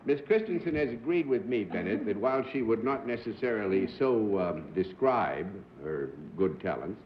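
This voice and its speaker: A man moderating a television panel show, with polished, courtly and gently wry hosting. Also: lowish voice, expressive, normal speed, a little hiss or hum. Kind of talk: formal, genial game-show hosting. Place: television studio with live audience. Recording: dull 1960s television broadcast audio.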